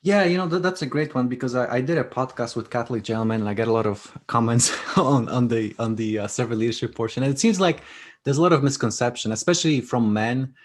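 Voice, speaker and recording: A man's voice: mid-range voice, fast talker. A man whose first language is Polish, a guest author talking casually in an interview, often restarting and repeating words. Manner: hesitant, repeats words, many fillers.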